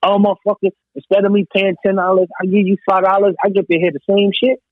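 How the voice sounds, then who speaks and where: A man's voice: sits high, flat delivery, fast talker, no echo. A man on a thin phone-like line, an emphatic, slangy guest who jumps into a casual debate. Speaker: podcast guest.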